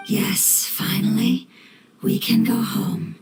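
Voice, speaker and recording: A woman playing a dignified, compassionate spirit in a video game, speaking formally in a measured, breathy voice. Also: mid-range voice, darkish tone, in a whisper, faint music behind. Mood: hushed wonder. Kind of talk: scripted video game voice acting.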